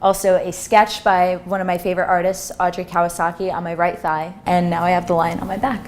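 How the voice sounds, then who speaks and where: A woman's voice: fairly low pitch, flat delivery, a little fast, background noise. A young adult woman casually listing her tattoos in an interview. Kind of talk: interview answers.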